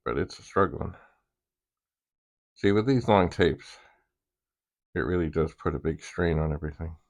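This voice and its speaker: A man hosting a hobby demonstration, thinking aloud in a casual, halting way and muttering asides to himself. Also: low voice, vocal fry. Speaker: vintage computer hobbyist host.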